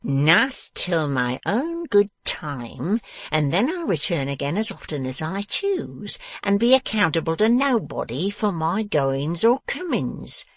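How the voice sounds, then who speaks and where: A man voicing a malicious male dwarf villain in a storybook, taunting and domineering, with slow emphatic menace. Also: fairly high pitch, animated, creaky, a bit bright, dry recording, heavily acted. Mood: roaring, threatening. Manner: menacing, emphatic, drawn-out delivery.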